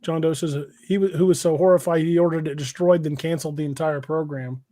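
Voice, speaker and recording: Higher-pitched man chatting on a livestream. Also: steady tone, quick pace, dark tone, no room sound. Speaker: podcast host and novelist. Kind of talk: casual livestream chat, reading out viewer comments. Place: indoors on an internet livestream video call.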